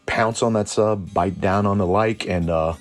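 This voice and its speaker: A man hosting a lore deep dive in a colloquial, irreverent way, part scripted commentary and part casual aside. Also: a little slow, faint music behind. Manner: colloquial, irreverent, mildly profane. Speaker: host of a cryptid and tabletop lore channel.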